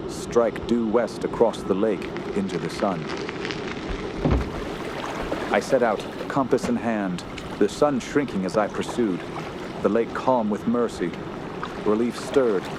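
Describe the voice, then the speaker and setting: Radio horror lead, male actor: haunted young man of the wilds, low, grave, very unhurried delivery. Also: lightly acted. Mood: grave dread. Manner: slow, grave, solemn, halting when distressed. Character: haunted young male wilderness survivor narrating his terror. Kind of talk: dramatic radio horror narration and acting. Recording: constant outdoor ambience noise behind voice.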